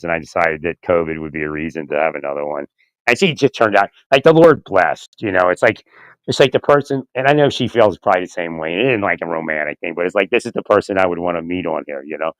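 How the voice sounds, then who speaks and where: A man with a slightly hoarse, raspy voice talking in a rambling, halting way, repeating words, chuckling often and asking "right?" as he speaks into his phone outdoors. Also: low voice, dry recording. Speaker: vlogger. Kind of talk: rambling casual monologue. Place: outdoors in winter.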